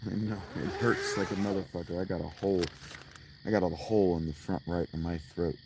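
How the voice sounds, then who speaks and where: A man with a hoarse, strained voice, rambling and swearing as he chats casually with viewers on a livestream outdoors at night. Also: deep voice, steady tone, a little fast, noisy background. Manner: rambling, profane, restarts often.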